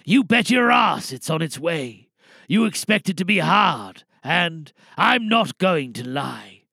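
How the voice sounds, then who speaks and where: A man playing a weary, resolute older insurance whistleblower, giving a dramatic speech to a crowd with deliberate pauses. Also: a little high, sing-song, vocal fry, bright, forceful tone, slightly rough, no echo, clean studio sound, exaggerated voice. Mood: defiant, rousing.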